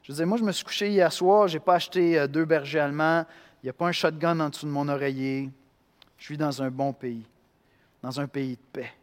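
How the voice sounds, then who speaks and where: A man from Quebec with a Québécois accent, preaching a sermon in an animated way, acting out voices and swinging between loud and hushed. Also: fairly high pitch, a little fast, in a large hall. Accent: Québécois French. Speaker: pastor.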